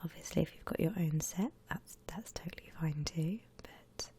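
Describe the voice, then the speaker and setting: A woman whispering breathily and gently as she hosts an unhurried ASMR art tutorial. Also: low voice. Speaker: host of an ASMR drawing channel. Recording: faint background noise.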